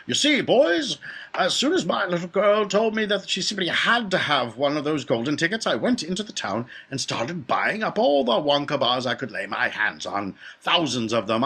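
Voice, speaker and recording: A man performs a wealthy, doting factory-owning dad from a storybook. He brags emphatically and folksily, in a bright, forceful voice that swings widely in pitch and has a husky edge. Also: exaggerated voice. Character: boastful, doting rich father, a peanut factory owner. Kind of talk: animated storybook character voice.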